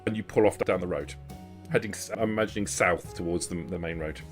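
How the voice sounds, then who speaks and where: Deep-voiced man narrating a tabletop game. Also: fast talker. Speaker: game master. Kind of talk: improvised narration of a tabletop role-playing game. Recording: background music under the voice. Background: music.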